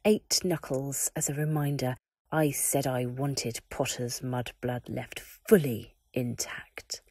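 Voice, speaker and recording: A woman voicing a cold, menacing male dark lord who speaks in a slow, hissing whisper. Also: a bass voice, steady tone, dry recording, exaggerated voice. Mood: cold cruel.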